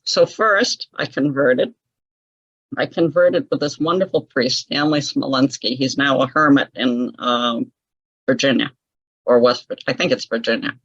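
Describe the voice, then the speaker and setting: Husky-voiced woman telling her story. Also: rumbling low, a little slow, dry recording, highs cut off. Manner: chatty, laughs often, lively retelling of her own past. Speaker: interview guest, author. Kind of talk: casual storytelling in an interview.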